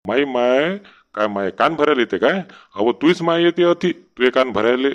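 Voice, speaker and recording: Man acting henpecked cartoon village husband, complaining, sarcastic, rural Vidarbha Marathi accent, medium pitch, dull sound. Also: expressive, moderate pace, lightly acted. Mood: grumbling, exasperated.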